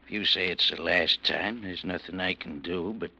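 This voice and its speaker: Casting: a deep-voiced, bright-toned radio-drama gangster, cold and threatening. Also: male voice, normal speed, gravelly, breathy edge, exaggerated voice, low-quality recording. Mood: menacing. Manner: low, coldly threatening. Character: menacing male gangster client, threatening. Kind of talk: 1940s radio acting.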